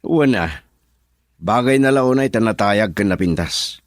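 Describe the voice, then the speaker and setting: Obsessive young suitor for radio drama, male actor, lowish voice, highly expressive swings, jealous and lovesick. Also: a little slow, no echo, studio quality. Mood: polite. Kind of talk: Ilocano radio drama acting.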